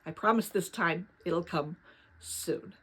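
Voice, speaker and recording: A woman narrating a children's novel aloud in an expressive storybook style. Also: fairly low pitch, slow, breathy edge.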